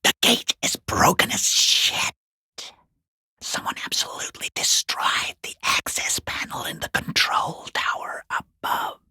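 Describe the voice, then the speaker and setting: A man playing a sneering, furtive peeping lurker in a video game, with a hoarse, breathy, half-whispered rasp and a sarcastic, taunting drawl. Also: bright, forceful tone, no room sound. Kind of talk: video game character acting.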